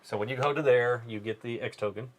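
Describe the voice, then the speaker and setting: A man's voice: medium pitch, fast, highs cut off. A man chatting casually during a board game live play, talking through his moves aloud as he goes.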